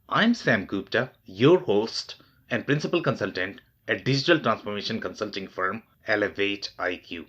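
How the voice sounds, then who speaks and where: A man's voice: on the low side, fairly bright tone, slightly rough, dull audio. A man hosting a business podcast, reading a formal scripted introduction and asking questions. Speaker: podcast host.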